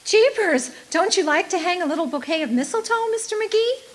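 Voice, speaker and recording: Woman acting a scatterbrained young woman, very high voice, swooping intonation, guileless, old-time radio comedy. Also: expressive, fairly quick. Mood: bubbly, guileless. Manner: guileless, bubbly. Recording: live stage performance with background noise.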